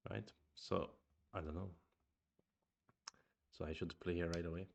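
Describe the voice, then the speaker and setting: Man, deep low voice, gravelly fry, swedish native speaker, streamer talking through go moves, murmured asides. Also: fairly even intonation, measured pace, slight background noise. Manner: thinking aloud, restarts, murmured asides. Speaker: streamer reviewing go games. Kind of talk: casual game review chat.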